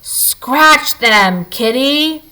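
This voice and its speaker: A small, mischievous troll from a children's storybook, as a woman reader puts him on. The voice is crackly and screechy, with a bright edge. He sounds like a cheeky little creature. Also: expressive, very slow, exaggerated voice. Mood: taunting. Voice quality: high, crackly. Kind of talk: storybook read-aloud.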